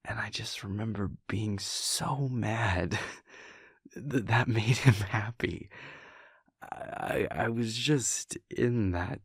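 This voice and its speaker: Man playing low, creaky vampire prince boyfriend. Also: takes it slowly, no echo, studio quality, exaggerated voice. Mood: rueful. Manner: hesitant, chuckling, conversational, soft-spoken. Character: playful male vampire prince, affectionate boyfriend, wryly reflective. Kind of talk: intimate roleplay audio, casual storytelling. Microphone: close, dry studio-style microphone.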